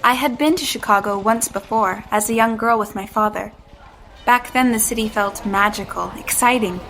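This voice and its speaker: A woman's voice: a little high, moderate pace, fairly bright tone, a little hiss or hum, low-quality recording. An actress playing an anxious young woman who narrates and pleads for answers in an audio drama. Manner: tense, hesitant, reflective in narration. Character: anxious young woman trapped in a man's body. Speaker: narrator and lead.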